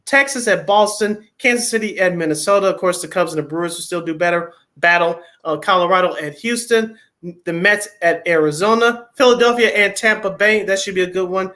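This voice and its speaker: High bright-voiced male radio host. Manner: reads schedules in quick runs, wry asides. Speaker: radio sports show co-host. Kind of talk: casual sports radio chat.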